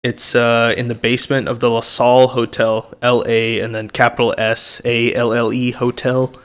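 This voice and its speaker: A young man hosting a casual podcast chat, naturally loud and quick to laugh. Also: medium pitch, little pitch movement, clear, resonant tone. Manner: naturally loud, laughing, plugs his comedy set. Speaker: podcast host.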